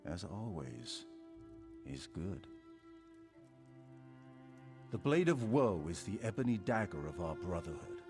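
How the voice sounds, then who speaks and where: A sinister man who recruits assassins for a dark cult in a video game. His deep voice moves slowly and theatrically, rising and falling with silky menace. Soft music plays behind him. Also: full character voice. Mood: solemn, smug.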